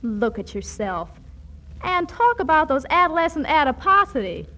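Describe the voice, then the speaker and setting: High-voiced, know-it-all young girl. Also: big pitch swings, normal speed, pushed and bright, full character voice. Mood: blunt, probing. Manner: bookish big words, dry wisecracks. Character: precocious, blunt young girl scientist, worldly and sardonic. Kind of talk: 1940s radio comedy acting.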